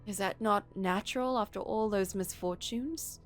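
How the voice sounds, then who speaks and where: A woman's voice: medium pitch, moderate pace, faint music behind, lightly acted. A proud young princess from a storybook, played by a woman narrator. Her speech is formal and self-assured, with a stubborn streak. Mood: troubled. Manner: formal, declarative phrasing.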